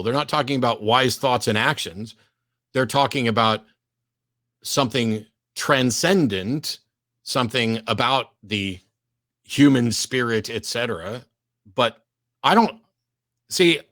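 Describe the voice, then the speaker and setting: A man's voice: medium pitch, fairly bright tone, no room sound. Male host pushing back hard, dry studio sound, argues firmly. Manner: firm, argumentative, insistent. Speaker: talk show host. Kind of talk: call-in debate.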